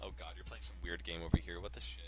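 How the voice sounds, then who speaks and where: A man's voice: low-pitched, little pitch movement, fast talker, noisy background. A man hosting a let's play, reading game text aloud and cracking crude jokes between lines. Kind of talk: casual gameplay commentary and reading aloud.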